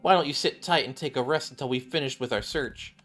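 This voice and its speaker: A stern but reassuring woman squad leader from a game, voiced by a man. The delivery is measured and formal, with calm command. Music plays behind. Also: medium pitch, lightly acted.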